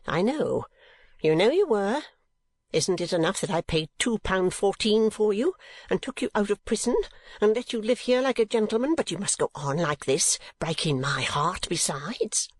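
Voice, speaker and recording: A narrator voicing a furious, scolding wife in a storybook, with shrill, screaming, accusing tones. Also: sing-song, moderate pace, no room sound. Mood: bitter scolding.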